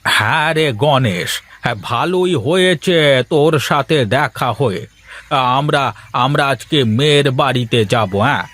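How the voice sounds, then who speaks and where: A man voicing an unhurried older village uncle in a cartoon story. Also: a little high, a bit bright. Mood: hearty. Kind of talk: Bengali cartoon dialogue.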